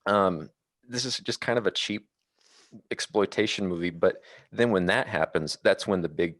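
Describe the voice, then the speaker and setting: A man talking casually about films as a podcast panelist, in a halting, rambling way. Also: low voice, fairly bright tone, no echo, studio quality. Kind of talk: casual film discussion.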